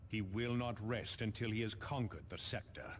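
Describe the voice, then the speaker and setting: Low-voiced, grave military briefing officer played by a man. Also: moderate pace, a little hiss or hum, natural voice. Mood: grave, resolute. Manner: formal, dramatic, declamatory. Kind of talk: video game mission briefing.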